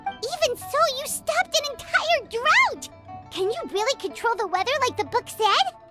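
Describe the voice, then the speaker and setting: An actress voicing a tiny, excitable female fairy companion in a video game, who refers to herself by name and blurts out questions. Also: extremely high pitch, moderate pace. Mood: excited. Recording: game audio with loud music behind.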